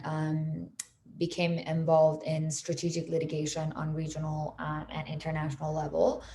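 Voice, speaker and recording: A woman's voice: low-pitched, mellow, slight background noise. A woman in her thirties from Iran, whose first language is Persian, speaking in a friendly way on a panel with many fillers. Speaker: panelist, lawyer. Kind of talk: panel talk over video call.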